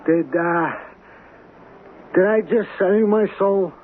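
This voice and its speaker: An actor in a 1970s radio drama playing a wary, plaintive small-time hustler who talks in streetwise slang. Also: male voice, high voice, steady tone, slow pace. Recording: tinny, noisy 1970s radio broadcast.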